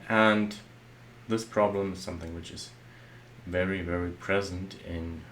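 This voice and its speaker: Low-voiced German man explaining software. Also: steady tone, measured pace, slight background noise. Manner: loose and conversational, many "well" and "uh" fillers. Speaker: software architect presenting. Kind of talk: explanatory slide talk.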